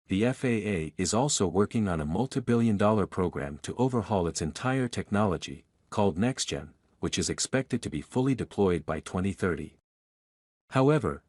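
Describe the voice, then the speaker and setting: A man narrates a news article in a fairly low voice. His delivery is measured and formal, like a scripted report. The sound is very dry and close, with almost no room around it. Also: a little mellow, no room sound.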